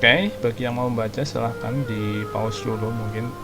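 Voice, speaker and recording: A man's voice: mid-range voice, flat delivery, brisk, gravelly. A man with an Indonesian accent giving casual let's-play commentary as a gaming streamer. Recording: commentary over loud game music.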